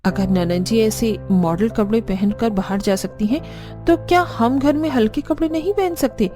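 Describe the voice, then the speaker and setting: Casting: actress as young daughter-in-law in a family cartoon, high-pitched, put-upon, pleading. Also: female voice, moderate pace, dark tone, soft background music, lightly acted. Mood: timid pleading. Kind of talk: Hindi cartoon family drama dialogue.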